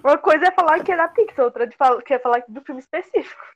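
A woman's voice: high voice, racing, dull audio, a little hiss or hum. A woman co-hosting a casual podcast over a call, teasing and bantering with the others. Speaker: podcast co-host. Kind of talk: casual chat.

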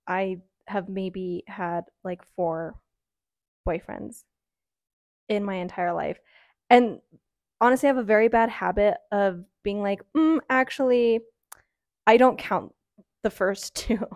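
A woman's voice: mid-range voice, slow pace, pauses often, a little mellow, no echo, clean studio sound. A woman in her early twenties talking casually and frankly on her own, rambling with lots of "um" and "like.". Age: early 20s. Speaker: podcaster. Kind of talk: casual solo chat.

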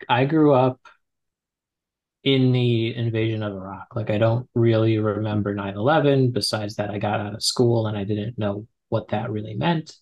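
A man's voice: fairly low pitch, fairly even intonation, moderate pace, highs cut off. A man in his twenties, a guest in a casual conversation, talking with many fillers and restarts. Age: 20s. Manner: hesitant, full of fillers and restarts. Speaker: podcast guest.

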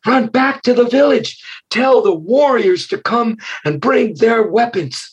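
A man's voice: very high-pitched, measured pace, slightly rough, muffled recording, pitched above the performer's own voice, exaggerated voice. A man voicing a brave, clever little girl in a raised, earnest voice.

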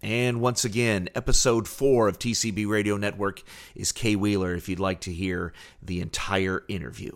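A man's voice: on the low side, flat delivery, normal speed. A man reading a scripted podcast announcement as its host. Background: loud guitar music.

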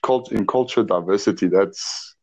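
A man's voice: fairly low pitch, moderate pace, a little mellow. A South African man in his twenties with a South African accent, chatting eagerly but hesitantly with many "uh" and "um" fillers. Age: 20s. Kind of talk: casual chat.

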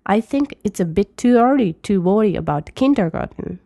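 Woman, mellow bilingual teacher. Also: mid-range voice, animated, dry recording, studio quality. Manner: reads English slowly, then translates briskly. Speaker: language teacher. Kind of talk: bilingual lesson commentary.

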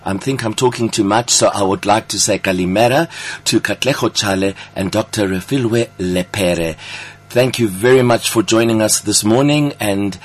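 A man's voice: fairly low pitch, normal speed. A man hosting a radio interview, introducing guests in an effusive, complimentary manner. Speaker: radio host.

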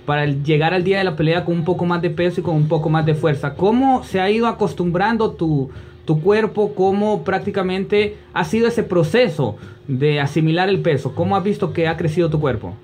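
A man's voice: high voice, brisk, darkish tone. A man with a Honduran accent conducting a conversational sports interview, wordy and repeating phrases as he builds questions.

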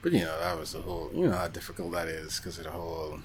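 A man co-hosting a podcast in casual chat about films, talking in a jokey, slangy, animated way. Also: low voice, fast talker, creaky, slight background noise. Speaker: podcast co-host.

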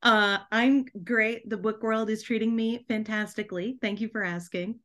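A woman's voice: a little high, steady tone, moderate pace, fairly bright tone. A woman chatting casually as a podcast guest, bubbly and effusive in the way she talks. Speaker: podcast guest, debut author. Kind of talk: casual chat.

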